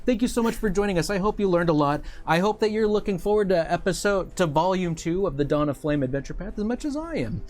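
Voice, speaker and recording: A man hosting a casual studio chat show about gaming, rambling and quick to joke. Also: sits high, expressive, a little mellow. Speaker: host of a tabletop gaming show.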